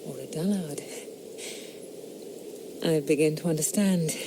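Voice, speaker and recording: An actress playing a bored, provocative young squire's wife in a period radio drama, teasing and coquettish. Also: female voice, deep voice, unhurried, background noise.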